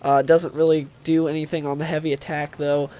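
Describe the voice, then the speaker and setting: A man's voice: on the high side, level tone, a little fast, mellow. A young man with a cold talks with a stuffed-up nasal voice, rambling casually through a comic book review with many fillers and restarts.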